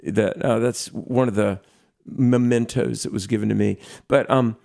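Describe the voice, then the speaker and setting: A man with an overused, hoarse voice and a gravelly edge conducts a ministry interview. He asks his questions with eager interest, at an ordinary middle pitch. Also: steady tone, a little slow, darkish tone.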